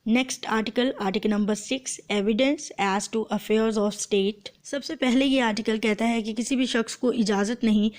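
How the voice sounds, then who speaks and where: A woman's voice: a little high, fairly even intonation, a little slow, hardly any pauses, a little mellow. A woman with a Pakistani accent giving an explanatory law lecture, methodical and didactic, translating formal text phrase by phrase and restating each point.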